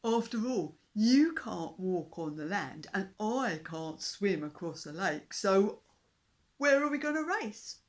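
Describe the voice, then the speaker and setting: A woman voicing a big boastful male dinosaur in a storybook reading, talking slowly, loudly and rudely. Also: medium pitch, very animated. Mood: sarcastic. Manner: loud, slow, rude and sarcastic.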